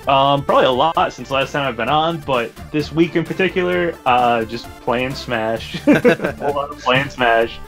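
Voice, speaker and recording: A man's voice: medium pitch, moderate pace, few pauses, a bit bright, soft background music. Easygoing man chatting about games. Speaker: podcast guest. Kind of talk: casual chat.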